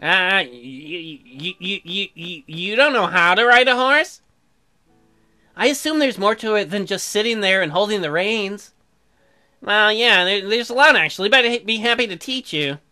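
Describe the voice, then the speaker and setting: A woman's voice: mid-range voice, expressive, measured pace, sharp tone. Young farmer husband, female reader: earnest, bashful, stammering, visual novel dialogue over music. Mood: earnest, patient. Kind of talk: visual novel character reading.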